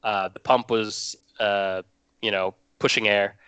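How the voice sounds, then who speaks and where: Bright, crisp young man chatting casually. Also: lowish voice, steady tone, takes it slowly, no room sound. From Norway. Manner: rambling, restarts phrases often. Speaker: guest hobbyist. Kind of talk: casual chat.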